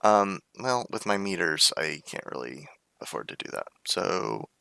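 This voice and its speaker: A low, gravelly man plays a game live and talks through his choices. His speech swoops widely in pitch as he moves from casual asides into reading the game's lines in different voices. He is recorded close to the mic, with a dry, bright sound. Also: sing-song, measured pace, dry recording. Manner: reads game characters' lines in varied voices, playful and chatty. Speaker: streamer. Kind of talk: reading game text aloud with casual commentary.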